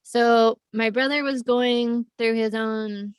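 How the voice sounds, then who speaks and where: A woman's voice: fairly high pitch, fairly even intonation, slow, clear, resonant tone, no room sound, far from the mic. A young woman raised in Costa Rica talks through her story as a podcast guest, with frequent laughter and loose, filler-heavy phrasing. Her voice sits a little back from the mic in a dry space. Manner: laughs often, rambling with fillers. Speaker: podcast guest, plant medicine facilitator. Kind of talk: casual interview storytelling.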